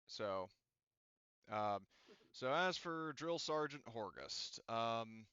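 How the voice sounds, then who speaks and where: A man's voice: medium pitch, expressive, very slow, bright, forceful tone, smooth, dry recording, lo-fi sound. A man working as a game master, narrating and explaining a tabletop roleplaying game in a rambling, informal way.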